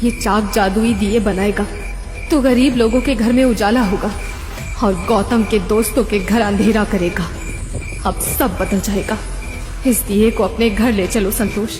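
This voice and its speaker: A woman's voice: medium pitch, steady tone, a little slow, in a large hall, natural voice. A woman voicing the vengeful spirit of a village potter woman in a cartoon story, solemn and commanding. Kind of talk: Hindi cartoon moral-story voice acting. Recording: background music throughout.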